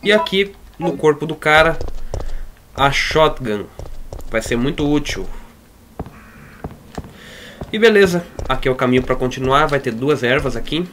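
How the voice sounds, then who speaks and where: A man's voice: on the high side, lively intonation, measured pace, long pauses, fairly bright tone, slight background noise. A man with a Brazilian accent giving casual, slangy let's play commentary as he plays. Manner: informal, slangy. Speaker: gaming YouTuber.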